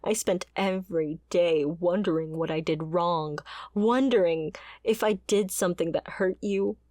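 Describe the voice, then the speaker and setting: Woman acting a slow-talking, bitter female mercenary. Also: fairly low pitch, a little mellow, no room sound, clean studio sound, close to an everyday voice. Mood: hurt, furious. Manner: confrontational, sardonic, swearing. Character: fiery, bitter female mercenary, wounded former bodyguard. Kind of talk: dramatic ASMR roleplay acting.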